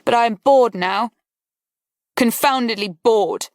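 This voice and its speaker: A woman's voice: fairly high pitch, slow, dry recording, studio quality, pitched above the performer's own voice. A woman voicing a scornful aristocratic lady who speaks in a languid, cutting, mocking way. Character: scornful, bored aristocratic lady breaking an engagement.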